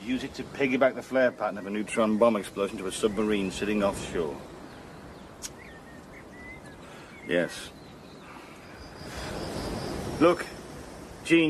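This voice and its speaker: An actor plays a weary male spy in a Cold War radio drama. His delivery is dry, guarded and deadpan, with wry understatement. Clear background noise sits behind the voice. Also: medium pitch, brisk, lightly acted. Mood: tense, guarded. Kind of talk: radio spy drama acting with first-person narration.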